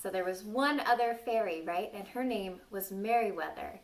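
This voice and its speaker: A woman's voice: mid-range voice, normal speed, a little hiss or hum. A woman reading a story aloud to young children, playfully stopping to ask them questions and exclaim. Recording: distant microphone.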